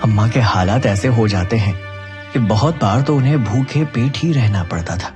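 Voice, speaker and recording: A man narrates a scripted moral story. His voice sits a bit low, in the role of storyteller. Music plays clearly behind him. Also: expressive, normal speed.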